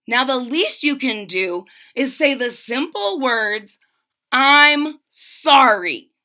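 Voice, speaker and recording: A woman's voice: sits high, lively intonation, slow, sharp tone, heavily acted. Woman voicing a blunt, scolding young boy. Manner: scolding, emphatic.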